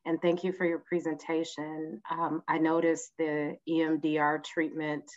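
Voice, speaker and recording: A woman hosting an online event, courteously introducing speakers with halting delivery. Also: deep voice, little pitch movement. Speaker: host, moderator. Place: online video conference. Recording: dull video-call audio.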